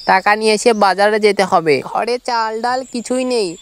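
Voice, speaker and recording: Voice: mid-range voice, normal speed, a little hiss or hum, pitched above the performer's own voice, lightly acted. A performer voicing a dutiful, hardworking young village labourer in a cartoon moral story. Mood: earnest, purposeful.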